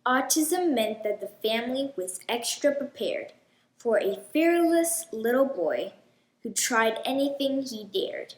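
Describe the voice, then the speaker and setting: A woman with a fairly high voice reads a picture book for children. She takes her time, speaking very slowly and with deliberate expression. The sound is roomy, with clear echo around her voice. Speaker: host of a children's read-aloud show. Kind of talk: children's storybook read-aloud.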